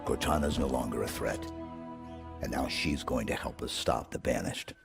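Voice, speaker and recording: An actor playing a stoic veteran super-soldier in a video game, laconic and deadpan with a very deep, gravelly, commanding voice. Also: male voice, moderate pace, music in the background. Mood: firm. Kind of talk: understated video game acting.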